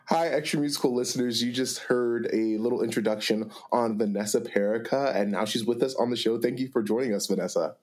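A man's voice: medium pitch, a little fast, few pauses, darkish tone. Casual American man hosting a podcast. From United States. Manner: friendly, casual, many likes and uhs. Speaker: podcast host and music teacher. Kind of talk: casual interview.

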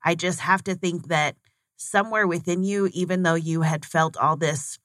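Low-voiced earnest woman host. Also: moderate pace, fairly bright tone, no echo, studio quality. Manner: earnest, thoughtful, encouraging. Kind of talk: interview conversation.

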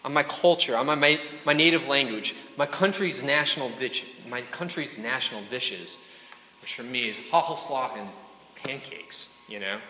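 A man's voice: mid-range voice, normal speed, bright, forceful tone, a little hiss or hum. A man giving a casual, conversational sermon to a room of students, often checking in with them and slipping in jokes. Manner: chatty, keeps checking in with "right?" and "okay?"; joking asides to the crowd. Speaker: speaker addressing students. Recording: echoey hall with faint room ambience.

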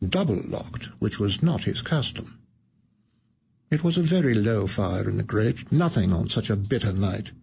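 A man narrating a radio play in a measured, evocative, deliberate way. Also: medium pitch, creaky, a little mellow, slight background noise. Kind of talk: dramatic storytelling narration.

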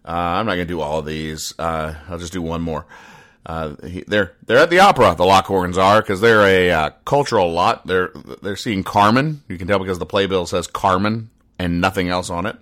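Deadpan man with a very low voice. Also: moderate pace. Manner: deadpan, pedantic, over-explaining. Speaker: podcast host. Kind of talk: deadpan comedy explainer commentary.

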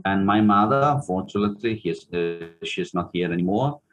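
A man with an Italian accent, a pianist and music teacher, answering interview questions thoughtfully with many pauses and restarts. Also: deep voice. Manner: hesitant, many fillers and restarts.

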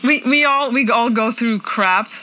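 A woman's voice: fairly high pitch, a little slow, sharp tone, muffled recording. A woman percussionist with a slight Polish accent answering interview questions casually, with many fillers and light laughs. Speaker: percussionist guest.

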